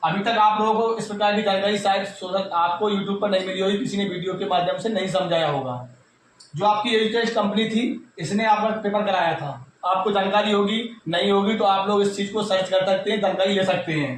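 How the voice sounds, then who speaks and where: A man's voice: high-pitched, steady tone, fairly quick. A man with a North Indian accent gives an informal explanatory update to students, repeating his points and addressing listeners directly. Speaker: YouTube exam news presenter. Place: reverberant indoor room. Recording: very echoey room, distant mic, faint noise.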